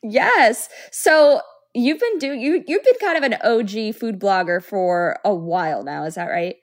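A woman's voice: mid-range voice, animated, a little slow. A woman in her thirties hosting a podcast, friendly and bubbly as she interviews a guest. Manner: bubbly, warm, laughs easily. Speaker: podcast host.

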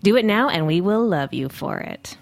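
A woman's voice: fairly low pitch, lively intonation, moderate pace, vocal fry, music in the background. A woman in her thirties co-hosting a live food radio show in a studio, chatty and teasing. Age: 30s. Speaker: co-host. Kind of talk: casual radio chat.

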